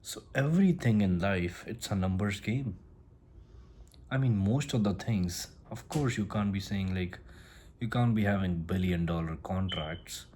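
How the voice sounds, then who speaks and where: Deep-voiced man, non-native English speaker. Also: a little slow, a little mellow. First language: not English. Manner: rambling, repeats and restarts phrases. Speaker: self-improvement vlogger. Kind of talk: casual motivational monologue.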